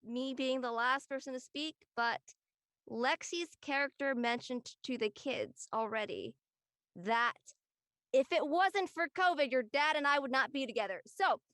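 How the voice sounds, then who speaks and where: A woman with a rather high voice explains her views as a panel guest. Her delivery is casual and conversational, and the recording is dry with little room sound. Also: expressive, unhurried, fairly bright tone, dry recording. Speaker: actor on a drama panel.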